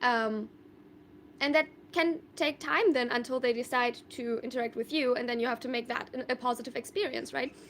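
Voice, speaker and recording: A woman's voice: on the high side, fairly even intonation, moderate pace, a bit bright, slight background noise. A young woman from Germany chatting casually on a live stream, answering viewer questions in a thoughtful, rambling way with many fillers and restarts. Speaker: livestreamer.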